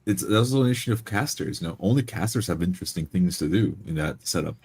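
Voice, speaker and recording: Husky, lowish-voiced man chatting casually. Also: lively intonation, fast, a little mellow. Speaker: player in a tabletop roleplaying group. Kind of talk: casual chat about a game session.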